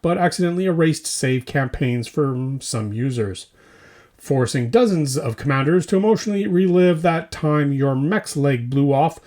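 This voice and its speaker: Animated man reading comedic hobby news. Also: on the high side. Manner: tongue-in-cheek, punchy delivery in short phrases. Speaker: host of a tabletop wargaming hobby news podcast. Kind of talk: scripted comedic news roundup with mock commercials.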